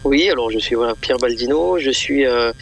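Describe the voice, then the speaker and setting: A man's voice: medium pitch, normal speed, vocal fry, slight background noise. A man from eastern France, a radio guest and event organizer, speaking hesitantly with many fillers and repeated words. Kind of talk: radio interview.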